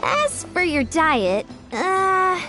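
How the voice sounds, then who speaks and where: A woman voicing a friendly, energetic young female fitness coach in a video game, upbeat and encouraging. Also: extremely high pitch, drawn-out words, full character voice. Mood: instructive. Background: faint game music.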